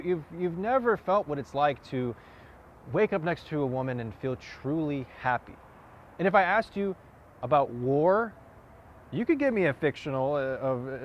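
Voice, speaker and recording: A man performing a dramatic monologue as a wounded, earnest man confronting someone, halting and repeating words for emphasis. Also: on the low side, darkish tone, close to an everyday voice. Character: wounded, earnest man confronting a cocky youth, grieving lover. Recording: dull lo-fi audio, clear outdoor noise.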